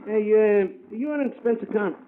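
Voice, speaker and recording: An American actor playing an eager, pushy small-time theater hustler in an old-time radio drama, full of slang. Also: male voice, high voice, unhurried. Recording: muffled, very noisy vintage radio recording.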